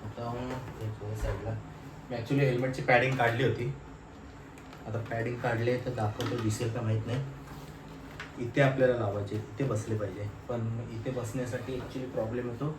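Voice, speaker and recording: A man's voice: mid-range voice, flat delivery, normal speed. Male vlogger, informal thinking-aloud delivery, roomy and noisy recording. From Maharashtra, India. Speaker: motovlogger. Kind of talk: casual hands-on unboxing and installation vlog. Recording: roomy, noisy, distant microphone.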